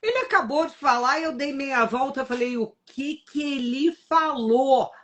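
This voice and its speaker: A woman's voice: high voice, measured pace, fairly bright tone. A woman with a Brazilian Portuguese accent hosting a live stream, chatty and telling personal anecdotes. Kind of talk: casual live-stream conversation.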